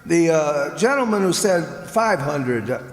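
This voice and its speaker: A man plays a radio-drama auctioneer, businesslike but easily flustered. He calls out haltingly in a high, unhurried voice that swings up and down through a very echoey hall. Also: expressive, faint music behind. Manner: calling out, halting. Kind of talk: old-time radio drama acting. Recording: echoey hall, long reverb.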